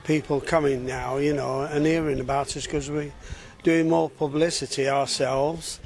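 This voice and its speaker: A man from England speaks in a fairly high, flat voice, plain and matter-of-fact. He introduces himself as a volunteer re-enactor. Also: moderate pace, slight background noise. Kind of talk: casual interview.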